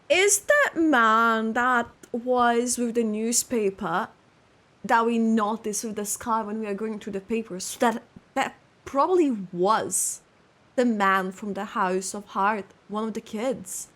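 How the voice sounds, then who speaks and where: Excitable woman streamer reacting. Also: fairly high pitch, slow pace. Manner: excited, exclaiming, thinking aloud. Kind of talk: live reaction commentary.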